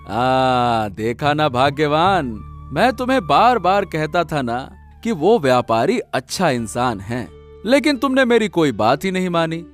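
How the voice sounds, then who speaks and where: A man's voice: on the high side, sing-song, unhurried, soft background music. A man playing a humble, honest poor village husband in a Hindi cartoon story, speaking earnestly with hesitant interjections. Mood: pleased, explaining.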